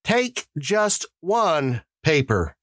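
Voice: medium pitch, big pitch swings, extremely slow, a bit bright, no room sound, studio quality, pitched above the performer's own voice, full character voice. A narrator voicing a male town official who gives orderly instructions in a formal, patient, civil way. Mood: formal, directing.

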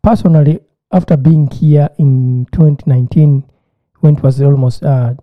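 A man tells stories with lively energy, stumbling over repeated words as he acts out his reactions. His voice sits a little high. The sound comes over a thin, phone-like connection. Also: moderate pace. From Uganda. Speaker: podcast co-host. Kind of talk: casual chat. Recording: tinny phone-like line.

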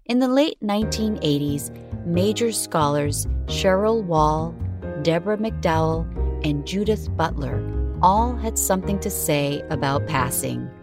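A woman reading a scripted podcast narration as its narrator, with measured, emphatic phrasing. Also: low-pitched. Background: music under the voice.

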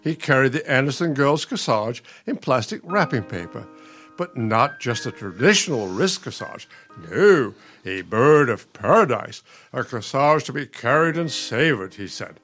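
Radio play, patient older husband: nostalgic, reflective, mid-pitched voice with expressive swings. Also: male voice, measured pace, faint music behind. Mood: wistful. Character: patient, nostalgic elderly husband, soothing and wistful.

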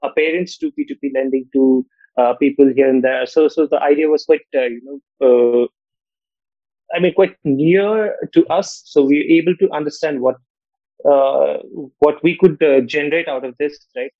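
A man from Nepal, a podcast guest who speaks in a measured way and often tags his sentences with "right?". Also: fairly high pitch, no echo. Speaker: guest, fintech co-founder. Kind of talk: podcast interview. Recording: dull, dry lo-fi call audio.

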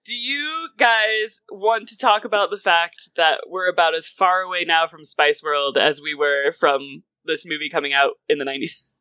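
A woman in her thirties talks as a podcast co-host in a bright, forward voice. Her delivery is casual and rambling, full of loose asides. The sound is dry and close. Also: deep voice, moderate pace, no room sound. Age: 30s. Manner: rambling, animated asides with frequent "like". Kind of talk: casual film and music chat.

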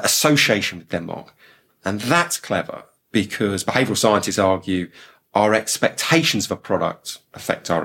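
Low husky-voiced male expert. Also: fairly bright tone. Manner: measured, explanatory. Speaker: podcast co-host, behavioral science expert. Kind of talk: explanatory podcast chat.

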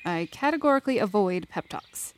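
A woman's voice: medium pitch, animated, brisk, natural voice. A woman playing a candid, wry leader and loyal confidante in a fiction podcast drama, with a blunt, teasing manner. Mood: insistent.

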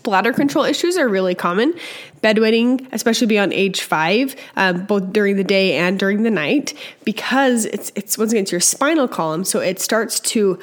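A young woman with a slightly hoarse, scratchy voice from a cold, hosting a casual educational podcast and chatting with lots of fillers. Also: medium pitch, expressive, normal speed. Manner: chatty, with many fillers and restarts. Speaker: podcast host and early childhood educator.

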